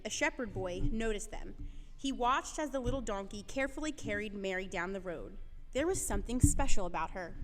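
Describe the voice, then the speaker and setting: Woman narrating a children's story with reverb. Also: medium pitch.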